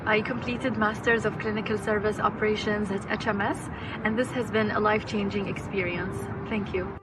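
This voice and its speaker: A woman's voice: mid-range voice, steady tone, a little fast, very noisy. A woman from Bahrain, a new graduate, giving a short prepared introduction. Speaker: graduate of a master's program. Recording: distant mic under loud music.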